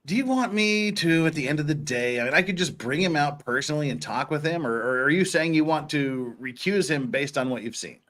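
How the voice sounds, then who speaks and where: A man hosting a dramatic reading of courtroom dialogue, theatrical and animated, with casual asides. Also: on the high side, fairly quick, hardly any pauses.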